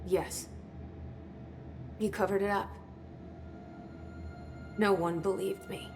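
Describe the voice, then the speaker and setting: A woman's voice: mid-range voice, steady tone, slow pace, over music. A woman playing a guarded, searching young heroine in a video game, restrained and confiding. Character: guarded, searching young woman hunting her lost brother. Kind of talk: video game cutscene acting.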